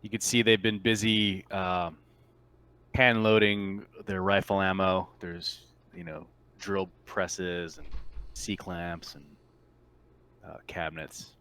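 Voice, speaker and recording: Low-voiced man running a tabletop game. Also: fairly even intonation, slow, sharp tone, clean tone, no echo. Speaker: game master. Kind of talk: casual narration of a tabletop roleplaying game.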